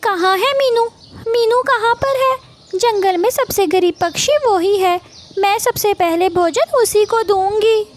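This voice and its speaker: Woman voicing a vain, scheming, shrill crow wife. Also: measured pace, a little hiss or hum. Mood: scheming, showy. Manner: showy, self-important, bossy. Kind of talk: Hindi cartoon character acting.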